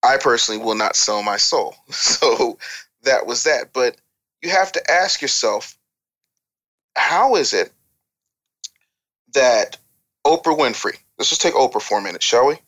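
A man's voice: medium pitch, lively intonation, a little slow, pauses often, a bit bright. An American man talking as an opinionated guest on a casual talk show, emphatic and repeating phrases for effect like a storyteller. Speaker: interview guest.

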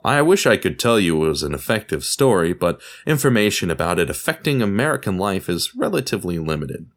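Deep voiced guy narrating a history podcast, dramatic emphasis, really lively up and down delivery. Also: fairly quick. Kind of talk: scripted documentary narration.

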